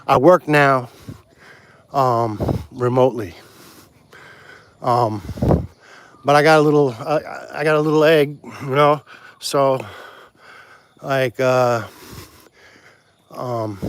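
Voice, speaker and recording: Guy on a phone livestream walking outside, kinda high voice, rambles and trips over his words. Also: gravelly. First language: English. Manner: rambling, many restarts, reads out and answers viewer comments. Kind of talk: casual live stream chat. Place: outdoors on a walk.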